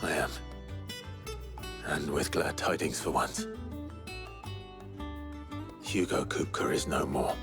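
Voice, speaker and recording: An actor playing an earnest, brooding, battle-worn hero in a video game, with a husky, breathy rasp. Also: male voice, rumbling low, slow, long pauses, music in the background. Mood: quiet, warm. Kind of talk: video game cutscene acting.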